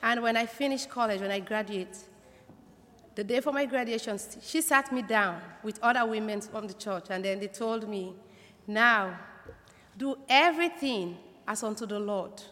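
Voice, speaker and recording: A woman's voice: medium pitch, normal speed, a bit bright. A woman giving a personal testimony to a congregation. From Africa. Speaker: church member introducing speakers. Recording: echoey hall with long reverb.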